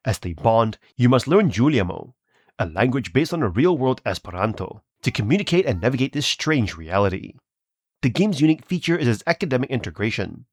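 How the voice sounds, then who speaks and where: Man narrating a scripted review, lively intonation. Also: medium pitch, no room sound. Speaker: video host and reviewer.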